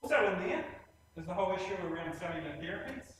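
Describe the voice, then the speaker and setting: A man's voice: high voice, expressive, fairly bright tone, far from the mic, slight background noise. New Zealand man in a very echoey hall. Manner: plain spoken, many "uh" pauses, leans on "actually". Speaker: hospital executive presenting plans. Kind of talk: presentation in a lecture series. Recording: very echoey room, voice from afar, faint ambience.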